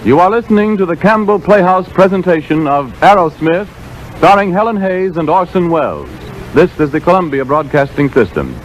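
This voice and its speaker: An American man works as a network radio announcer in formal 1930s style. His voice sits fairly high, on an old recording with faint music behind. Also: animated, moderate pace, low-quality recording.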